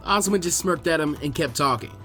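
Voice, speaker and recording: A man reading fan fiction aloud as an expressive story narrator. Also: a little high, fast, soft background music.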